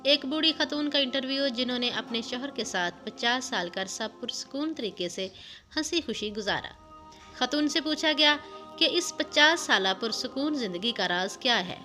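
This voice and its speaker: A woman narrating a scripted moral story in a measured, instructive way, as a storyteller. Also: fairly high pitch, expressive, sharp tone. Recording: music under the voice. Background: background music.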